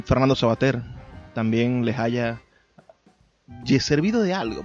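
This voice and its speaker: Male radio host, Venezuelan accent, medium pitch, effusive, conversational, slightly dull recording, music behind. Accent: Venezuelan Spanish.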